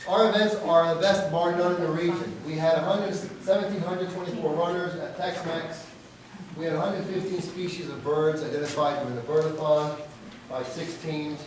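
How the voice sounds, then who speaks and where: A hoarse man presenting yearly results at an annual meeting in an earnest, proud manner. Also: fairly high pitch, fairly even intonation, moderate pace, noisy background. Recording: very echoey hall, far from mic.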